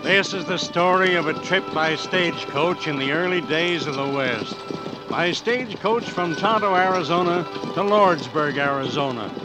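Casting: male vintage radio narrator, American, slightly high voice, measured storytelling under loud music. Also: rough recording. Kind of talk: scripted 1940s radio narration. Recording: old 1940s radio recording.

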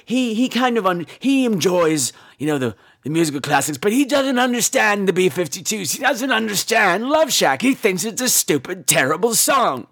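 A man's voice: high voice, sing-song, moderate pace, few pauses, fairly bright tone. A man hosting a podcast, telling comic personal stories in a campy, exaggerated theatrical style with salty, sassy asides. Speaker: podcast host.

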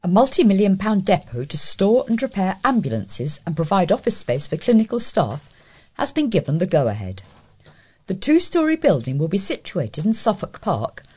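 A woman's voice: on the low side, darkish tone, breathy edge. A woman with a British accent reading scripted local news as a volunteer newsreader.

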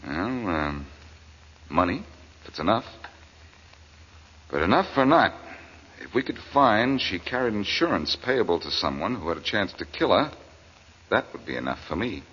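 A confident wisecracking American sleuth from a forties radio play. His low voice swings freely through sly, needling banter. It comes through a muffled vintage recording. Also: sing-song, moderate pace, pauses often, a bit bright, breathy edge, heavily acted. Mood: pondering. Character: quick-witted, wisecracking confident amateur detective. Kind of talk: 1940s American radio drama acting. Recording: muffled old radio recording, faint noise.

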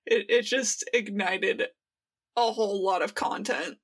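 A woman's voice: medium pitch, steady tone, measured pace, slightly rough. A woman co-hosting a podcast in casual fan discussion, with a wry, playfully exaggerated way of talking. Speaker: podcast co-host.

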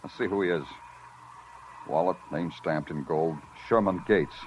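An actor playing a world-weary, hard-boiled New York detective, brooding and sardonic, in an old-time radio drama. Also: male voice, low voice, unhurried, a little hiss or hum. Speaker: lead detective and narrator. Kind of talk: old-time radio noir narration and dialogue. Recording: dull 1950s radio recording, loud music.

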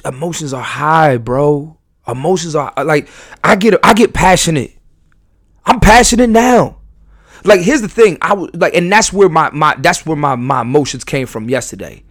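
Passionate rambling podcast host. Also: male voice, fairly high pitch, dry recording, clean studio sound. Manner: passionate, rambling with many restarts. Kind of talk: live podcast monologue to audience. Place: live venue.

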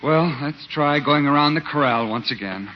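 An actor playing an exasperated, dryly sarcastic riding instructor in a 1950s radio sitcom. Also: male voice, fairly high pitch, normal speed, natural voice. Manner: dry, sarcastic. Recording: noisy, muffled 1950s radio recording.

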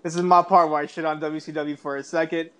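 A man's voice: a little high, flat delivery, quick pace, fairly bright tone, clear, resonant tone. A man co-hosting a casual pop-culture podcast, ranting in an exasperated, mocking, emphatic way with swearing. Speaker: podcast co-host.

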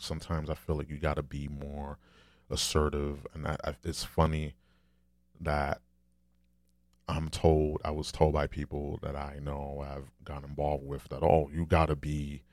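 A man with a deep, low, soft voice, talking candidly and rambling in a casual solo monologue, swearing now and then. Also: dry recording, studio quality.

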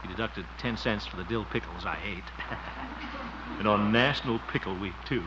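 An actor playing a blustering, soft-hearted middle-aged man in a 1950s radio comedy, with muttered asides and nervous giggles. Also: fairly low pitch, normal speed, close to an everyday voice. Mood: tired, softened. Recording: muffled, very noisy old radio recording.

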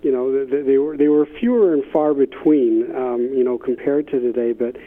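A middle-aged man answering as an interview guest, earnest and halting, often repeating words as he thinks. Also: medium pitch. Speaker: interview guest, business thought leader. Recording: thin, phone-like call line.

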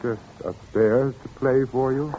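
An actor playing a suave, calmly menacing murderer who plays the organ, speaking softly and slowly with relish in an old radio drama. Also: male voice, medium pitch, natural voice. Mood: silky, menacing. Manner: soft, slow, relishing menace. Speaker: villain in a radio play. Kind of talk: 1940s radio acting. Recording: muffled old radio recording with organ music.

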